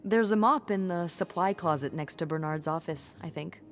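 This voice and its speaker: A woman's voice: on the low side, moderate pace, a little hiss or hum, lightly acted. A woman playing a wry, sardonic hotel front desk clerk in a video game, with a dry manner. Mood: wry, teasing. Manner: dry, teasing, sarcastic. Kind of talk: naturalistic video game acting.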